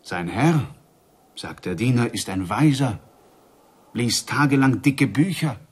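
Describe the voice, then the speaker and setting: An actor in a German radio drama playing an excitable villager who passes on wondrous rumours with suspense. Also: male voice, mid-range voice, slow, breathy edge. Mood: awed, excited.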